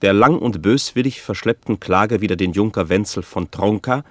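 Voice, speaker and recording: Ein Schauspieler gibt in einem deutschen Hörspiel einen nüchternen, gewichtigen Chronisten, der gemessen und förmlich erzählt. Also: male voice, fairly low pitch, dry recording, clean studio sound, close to an everyday voice. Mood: sober, grave. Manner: measured, formal. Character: sober, weighty male chronicler narrating a historical trial. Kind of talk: scripted radio drama narration.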